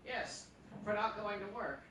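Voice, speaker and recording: An actor playing a genial, sly man who bosses his lodger, folksy and gently needling, in old-time radio comedy style. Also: high-pitched, a little slow, distant mic. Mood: chatty, genial. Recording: echoey theatre from afar, audience audible. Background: audience noise.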